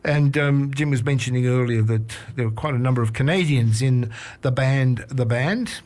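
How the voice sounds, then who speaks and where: A male radio host in the studio, talking in a relaxed and chatty way. His voice sits at a middle pitch, and he stumbles over his words now and then with plenty of "um"s and restarts. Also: normal speed. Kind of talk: casual radio chat.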